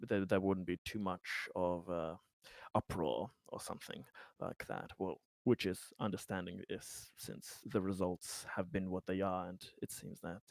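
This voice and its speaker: A man playing a polite, fumbling, flattering survey official in a tabletop roleplaying game, speaking in a halting, very courteous way. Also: sits low, vocal fry, no echo, pitched below the performer's own voice. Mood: courteous, hesitant. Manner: halting, hesitant, overly courteous.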